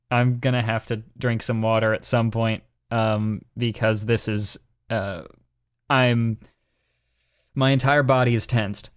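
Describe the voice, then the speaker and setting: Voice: medium pitch, fairly even intonation, slow pace, long pauses, clean tone, dry recording, clean studio sound. A podcast co-host giving a dramatic reading and comic chat, sounding exasperated and groaning over a bad text.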